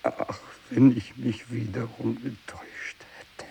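Casting: fanatical, parched desert priest, slow and gravelly, anguished, 1960s radio drama with faint hiss. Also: male voice, mid-range voice, fairly even intonation, mellow. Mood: despairing, feverish. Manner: declamatory, anguished, swinging between cries and whispers. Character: fanatical, tormented renegade priest, parched and raving.

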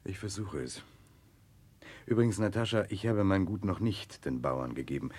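An actor playing an earnest, remorseful Russian nobleman in a radio drama, speaking in a grave, reflective, husky voice. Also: low voice, normal speed, a little mellow. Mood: earnest, brooding. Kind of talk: German radio play acting, part dialogue, part letter narration.

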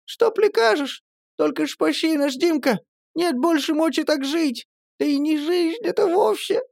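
Narrator playing lisping village girl, very high pitch, fast chatter, storybook. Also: lively intonation, vocal fry, no echo, studio quality. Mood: eager, pleading. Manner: lisping, mumbling, rapid chatter. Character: pale lisping village girl, frightened and eager.

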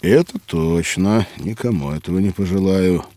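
An actor playing a hearty, hospitable mountaineer in an audio drama for children, speaking expressively. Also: male voice, low-pitched, moderate pace, warm tone, lightly acted. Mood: earnest, animated. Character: hearty hospitable mountaineer by a campfire below Everest. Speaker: mountaineer character.

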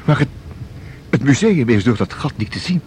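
A man's voice: medium pitch, lively intonation, darkish tone, slight background noise, natural voice. An actor in a Dutch audio drama playing a bewildered, hesitant man who stammers and can hardly believe things. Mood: astonished, incredulous. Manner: stammering, halting, incredulous. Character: bewildered, hesitant male visitor struggling to believe.

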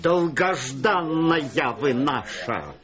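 A flustered musician in a radio play, slowly and hesitantly appeasing. His pitch swings widely. A crowd is heard behind a slightly dull recording. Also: expressive. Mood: exasperated. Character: flustered, placating male orchestra musician.

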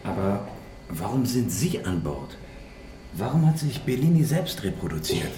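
A man's voice: fairly low pitch, sing-song, measured pace, warm tone, roomy sound, far from the mic, slight background noise. An actor in a German radio drama playing a blunt, puzzled male investigator who speaks in questions.

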